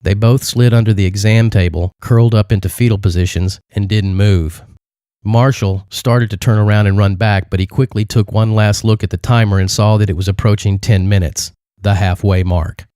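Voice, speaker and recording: A man's voice: lowish voice, fairly even intonation, normal speed, mellow, clear, resonant tone, no echo, clean studio sound. A man narrating a dramatic adventure story as a scripted audiobook reading.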